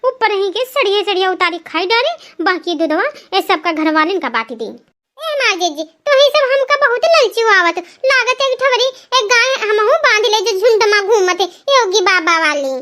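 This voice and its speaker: A woman voicing gossipy, teasing village women in Awadhi-accented cartoon comedy, folksy and nagging, with an artificially pitched-up voice. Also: lively intonation, normal speed, bright, forceful tone, exaggerated voice. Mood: teasing, chatty.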